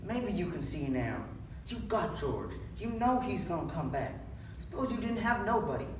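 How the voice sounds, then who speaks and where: A man's voice: fairly high pitch, very animated, moderate pace, pitched above the performer's own voice. A young man reads the part of a lonely, taunting stable hand in readers' theatre. His speech is rustic and needling. He is heard at a distance in an echoey room with faint noise. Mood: bitter, lonely. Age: young adult. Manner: rural American dialect, read aloud with needling persistence. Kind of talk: readers' theatre script reading.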